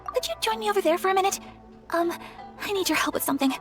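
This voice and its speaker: A woman playing a polite, refined young noblewoman in a video game, gentle, earnest and formally courteous. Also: very high voice, lively intonation, fast talker, faint music behind. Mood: flustered.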